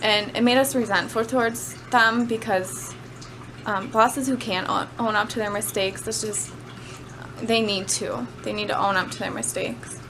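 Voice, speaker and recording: A woman's voice: medium pitch, steady tone, moderate pace, music in the background. A woman giving an informal student speech about personal experience, speaking in a conversational, somewhat hesitant way. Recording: clearly noisy amateur camera recording.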